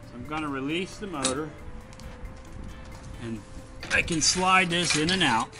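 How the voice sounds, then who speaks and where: A man giving a casual step-by-step how-to while working on a boat trailer on his driveway, often turning away from a low camera. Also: a little slow, over music. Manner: plain, practical, step-by-step explaining while working. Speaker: DIY vlogger. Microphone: camera mounted low on the ground, voice often off-mic.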